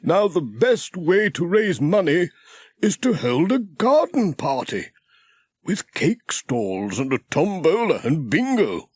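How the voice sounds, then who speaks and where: A man's voice: a little high, sing-song, takes it slowly, darkish tone, husky, dry recording, pitched above the performer's own voice, full character voice. A man voicing a genial, pious village vicar in a children's storybook, with a sing-song, encouraging, preacherly delivery.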